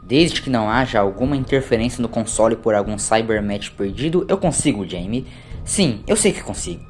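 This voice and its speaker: A man's voice: fairly low pitch, animated, brisk, faint music behind, pitched above the performer's own voice, close to an everyday voice. A man voicing an eccentric, determined time traveller in an audio drama, with an emphatic, commanding delivery. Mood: determined, excited.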